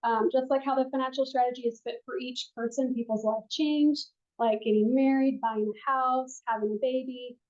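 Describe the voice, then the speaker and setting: A woman advisor teaches a room full of people, quiet and gentle, with a lot of ums. Her voice sits fairly high but comes across mellow. The recording is muffled and lo-fi, picked up by a room mic. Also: steady tone, moderate pace. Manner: soft-spoken, with frequent ums. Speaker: financial advisor teaching a class. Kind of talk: classroom presentation.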